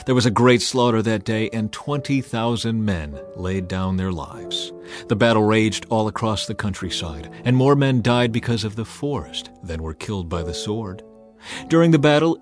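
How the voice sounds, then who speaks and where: A man reading scripture as a dramatized audiobook narration, measured and emphatic in his storytelling. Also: fairly low pitch. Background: music under the voice.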